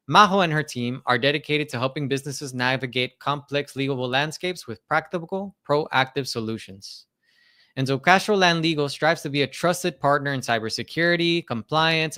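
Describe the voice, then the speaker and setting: A man's voice: a little high, pushed and bright. A man hosting a business podcast, reading a formal, polite introduction and then interviewing his guest. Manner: formal, polite, reads from notes. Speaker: podcast host.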